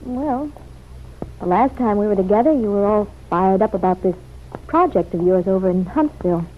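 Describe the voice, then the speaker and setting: An actress playing a quick-witted young woman in an old-time radio drama, with a wry, teasing manner. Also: medium pitch, lively intonation, natural voice. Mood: curious. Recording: dull, noisy vintage radio recording.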